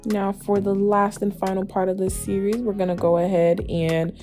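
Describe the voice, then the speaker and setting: A young adult woman with a slightly hoarse, raspy voice, giving a chatty, emphatic beauty tutorial as a casual voice-over. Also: medium pitch, flat delivery, normal speed, dark tone. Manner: chatty, emphatic, addresses viewers familiarly. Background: background music with guitar.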